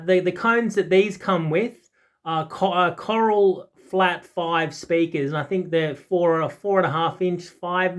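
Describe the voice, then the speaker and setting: A man in his forties giving a casual, unscripted product talk, rambling and often hesitating or correcting himself. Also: high voice. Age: 40s. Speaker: audiophile video host.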